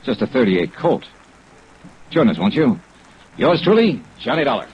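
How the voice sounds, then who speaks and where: An American actor playing a dogged, wry insurance investigator in a 1950s radio drama, clipped and hard-boiled. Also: male voice, mid-range voice, very animated, moderate pace. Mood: dry, determined. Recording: dull old radio recording, rain noise over it.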